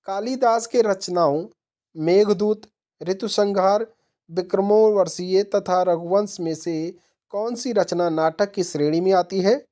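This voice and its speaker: Mellow-voiced woman teaching. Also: fairly low pitch. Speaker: exam-prep teacher. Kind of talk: question-and-answer quiz lesson narration.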